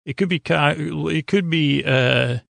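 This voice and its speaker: A man with a gravelly voice hosting a bedtime podcast, meandering haltingly and stumbling over his words as he wanders off on tangents. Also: fairly high pitch, a bit bright, dry recording. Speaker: podcast host. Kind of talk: rambling bedtime podcast monologue.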